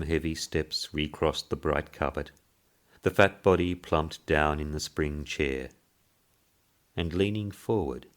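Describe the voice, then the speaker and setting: A man narrating a literary short story in a measured, deliberate way. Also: rumbling low. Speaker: story reader.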